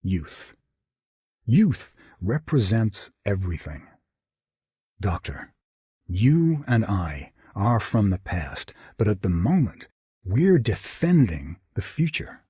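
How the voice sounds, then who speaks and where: A man voicing a grave, world-weary older gentleman in a video game. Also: lowish voice, sing-song, takes it slowly, breathy edge, no room sound, clean studio sound, exaggerated voice. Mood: grave, resolute. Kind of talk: video game character voice lines.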